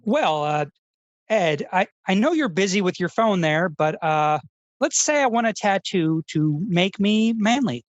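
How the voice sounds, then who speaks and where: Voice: medium pitch, animated, a little slow, dry recording, highs cut off, close to an everyday voice. A performer playing an earnest, self-serious male public radio host who speaks in a pensive, pompous, awkwardly polite way, as in a scripted feature parody.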